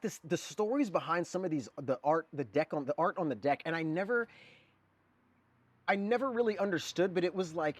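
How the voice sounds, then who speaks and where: A man in his forties who grew up in Germany, a guest artist talking casually and rambling, with lots of fillers and "dude". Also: sits high. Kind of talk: casual conversation.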